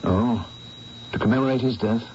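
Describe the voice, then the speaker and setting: A man's voice: medium pitch, moderate pace, a little mellow, natural voice. An actor playing a male painter who narrates his own story in an old radio drama, earnest and persuasive. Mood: friendly. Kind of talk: 1970s radio drama acting. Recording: dull old radio broadcast, faint hiss.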